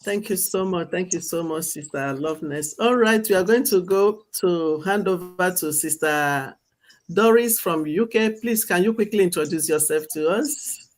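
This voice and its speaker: Brisk woman hosting a live stream. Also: on the low side. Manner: brisk, repeats thanks. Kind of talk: moderating introductions.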